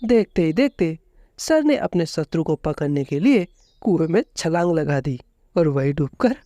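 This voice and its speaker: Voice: medium pitch, very animated, unhurried, mellow, dry recording. A storyteller giving a scripted narration of a children's fable.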